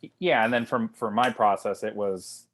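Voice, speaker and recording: A man's voice: on the low side, moderate pace, fairly bright tone, slight background noise. A man speaking casually as a writer-director in a video call Q&A, rambling informally and often repeating his words. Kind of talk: casual Q&A discussion.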